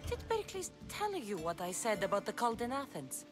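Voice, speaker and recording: A woman's voice: on the high side, wildly swooping pitch, fairly quick. An actress playing a tough female mercenary of ancient Greece in a game, speaking directly and guardedly. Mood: serious. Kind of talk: video game cutscene acting. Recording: music under the voice.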